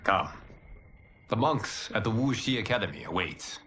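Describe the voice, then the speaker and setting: A man playing a calm, solemn god and mentor in a video game, speaking in a measured, formal, authoritative way. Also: on the low side, close to an everyday voice. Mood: solemn, composed. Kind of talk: cinematic video game acting.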